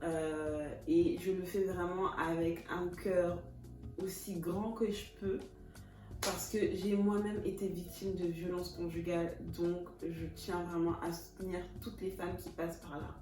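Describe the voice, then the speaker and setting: A woman's voice: lowish voice, little pitch movement, unhurried, distant mic. Chatty thirty-year-old woman vlogging in an echoey room. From Congo (Kinshasa). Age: 30s. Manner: warm, chatty, laughs often, mixes in English words. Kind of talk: casual self-introduction vlog. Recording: echoey room, voice a bit off-mic, music behind.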